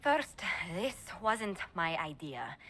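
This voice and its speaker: A woman playing a formal, measured female ambassador who announces judgments in a video game. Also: on the low side, big pitch swings, bright, forceful tone, slight background noise, heavily acted. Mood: awkward, officious. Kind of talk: scripted video game dialogue.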